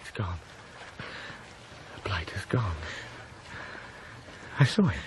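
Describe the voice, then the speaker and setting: An actor playing a domineering, jealous husband in a radio drama, intense and volatile, swinging between tenderness and temper. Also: male voice, deep voice, sing-song, slow pace, full character voice. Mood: shocked, bitter. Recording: radio drama with loud background noise.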